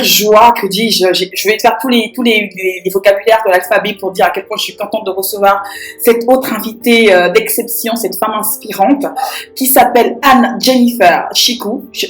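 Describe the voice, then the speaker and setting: A woman hosting a live radio broadcast, her voice a little hoarse as she recovers from losing it. She rambles and hunts for the right words. The room around her rings noticeably, with faint music underneath. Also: mid-range voice, reverberant, poor audio. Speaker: radio co-host.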